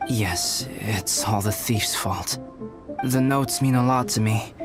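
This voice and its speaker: A man voicing a calm, aloof young male alchemist in an anime game, speaking in a measured, philosophical manner. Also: lowish voice, over music.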